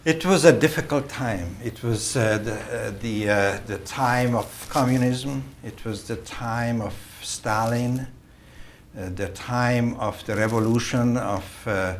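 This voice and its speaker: A man in his seventies from Hungary, a native Hungarian speaker, telling his life story in a slow, halting way with many fillers and restarts. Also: mid-range voice, slight background noise. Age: 70s. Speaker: interview guest, vascular surgeon. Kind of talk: recorded oral-history interview.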